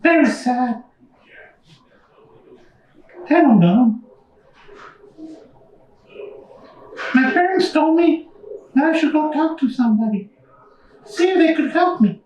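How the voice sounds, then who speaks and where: A woman voicing a young, squeaky monster puppet telling stories in a simple, childlike way at a live puppet show. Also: lively intonation, a little slow, pauses often, darkish tone, a little hiss or hum. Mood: glum. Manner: childlike, simple storytelling to an audience. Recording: echoey room, slightly distant mic.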